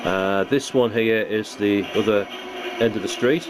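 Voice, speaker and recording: A man's voice: on the low side, unhurried. A British man casually narrating a hands-on hobby demonstration as he presents his model railway build. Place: indoor hobby workbench. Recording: noisy room recording with hum.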